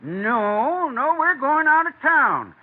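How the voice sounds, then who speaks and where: An actor playing a brisk, folksy small-town householder in an old-time radio comedy. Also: male voice, extremely high pitch, big pitch swings, exaggerated voice. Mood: busy, cheerful. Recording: tinny, band-limited old radio recording.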